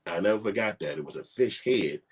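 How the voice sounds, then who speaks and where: A man's voice: fairly low pitch, lively intonation, a little fast, husky. A man, telling profane, laid-back stories in street slang. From Chicago. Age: 40s. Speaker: filmmaker vlogger. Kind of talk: casual storytelling.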